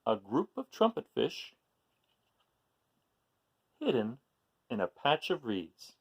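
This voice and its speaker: Gravelly, animated man reading a picture book. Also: mid-range voice, slow pace. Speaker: children's book read-aloud host. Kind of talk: expressive storybook reading with casual asides.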